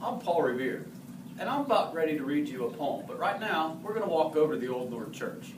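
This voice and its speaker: Echoey, higher-voiced man reciting a poem. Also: very animated. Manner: halting on harder words, stumbling and correcting himself. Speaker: amateur reader in the role of a historical horseman. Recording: roomy, distant mic, faint background noise.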